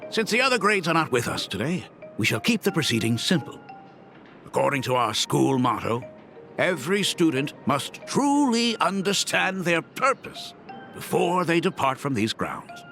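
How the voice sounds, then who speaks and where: A man voicing a gentle, wistful academy dean and father, speaking formally in a dramatic video game style. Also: a little high, sing-song, vocal fry, heavily acted. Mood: ceremonious. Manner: measured, formal, ceremonious phrasing. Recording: music under the voice.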